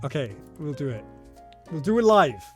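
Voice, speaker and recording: A man's voice: a little high, very animated, moderate pace, soft background music. A man in his thirties from South Wales with a South Welsh accent, casually commenting on his own footage, nervous and self-deprecating, laughing often and swearing freely. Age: 30s.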